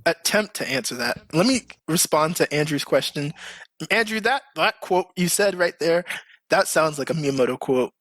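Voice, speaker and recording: A man hosting a casual podcast discussion, talking in an animated, emphatic way. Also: fairly high pitch, moderate pace, no room sound. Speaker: podcast host.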